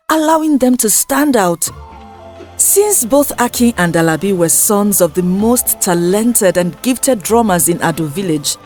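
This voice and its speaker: A woman narrates a scripted folktale as a storyteller. Her voice sits at a middle pitch, with measured and clearly separated phrases. Music plays behind her narration. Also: a little mellow.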